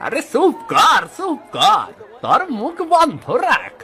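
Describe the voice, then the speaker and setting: A man's voice: very high voice, wildly swooping pitch, slow, heavily acted. Man as a swooping, jealous village villain. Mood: menacing spite. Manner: menacing, drawling threats. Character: jealous scheming village hotel owner, male villain. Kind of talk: cartoon story acting. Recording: loud background music.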